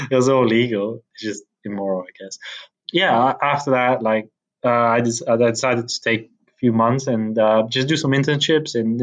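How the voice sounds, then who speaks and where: A man from Bulgaria in his thirties, a podcast guest chatting casually with many restarts and fillers and frequent laughs. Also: mid-range voice. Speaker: podcast guest, tech founder. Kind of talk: casual interview chat.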